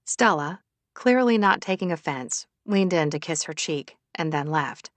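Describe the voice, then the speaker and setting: A woman's voice: low-pitched, animated, measured pace, dry recording. Woman narrating a romance audiobook, dry sound. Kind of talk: scripted romance novel narration with acted dialogue.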